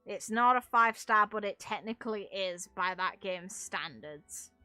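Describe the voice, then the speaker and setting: Casting: female gaming commentator, sharp bright tone, blunt opinionated chat with swearing, dry close recording with faint music behind. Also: mid-range voice, unhurried, no echo. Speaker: game streamer and video creator.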